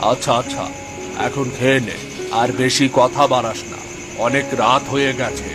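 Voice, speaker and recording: A man playing a protective, worried father in a cartoon story, with a gently scolding, questioning manner. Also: mid-range voice, steady tone, moderate pace, music in the background, close to an everyday voice. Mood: concerned, chiding.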